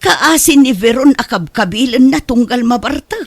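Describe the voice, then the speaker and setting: A woman playing a concerned older wife and mother in a radio drama, a firm peacemaker with a measured, admonishing way of talking. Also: a little high, lively intonation, dry recording, studio quality.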